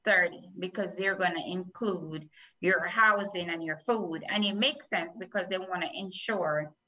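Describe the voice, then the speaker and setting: A woman's voice: lowish voice, unhurried, bright, forceful tone. A middle-aged woman, an attorney hosting a talk show, explaining legal matters step by step with examples over a video call. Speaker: host, immigration attorney. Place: small plain room indoors, video call. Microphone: laptop or webcam microphone on video call. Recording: dull, lo-fi video-call audio.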